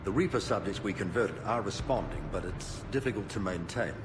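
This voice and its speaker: A man's voice: low-pitched, expressive, brisk, music in the background. An actor playing a careful male scientist reporting results in a video game. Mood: cautious.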